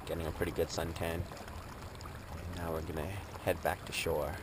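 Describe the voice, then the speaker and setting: A man in his thirties talking casually to an action camera at arm's length while out on a lake in an inflatable boat, in a relaxed, rambling way. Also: a bass voice. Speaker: angler filming his trip. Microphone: action camera held at arm's length. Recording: action camera audio with water noise outdoors. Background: water and boat noise.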